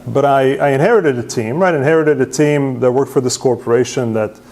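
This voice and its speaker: A man presents to a meetup crowd. He is self-deprecating and casual, often tags his points with a quick "right?" and restarts his sentences as he goes. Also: mid-range voice, darkish tone. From Serbia. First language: Hungarian. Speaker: presenter at user group meetup. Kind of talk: conference talk with slides.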